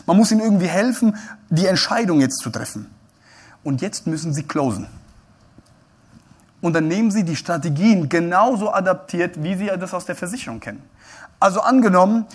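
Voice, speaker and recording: Male keynote speaker, slightly high voice, playful, quizzes the crowd from the stage. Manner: lively, joking, addresses the audience directly with questions. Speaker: keynote speaker at a brokers' congress. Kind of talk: live stage talk to an audience. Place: congress stage before an audience.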